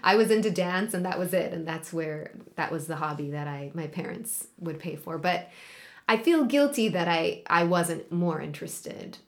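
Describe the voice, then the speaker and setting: A woman's voice: fairly low pitch, lively intonation, moderate pace, vocal fry, few pauses. A woman from Israel hosting an interview, speaking casually and a bit hesitantly. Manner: hesitant, many fillers. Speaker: podcast host.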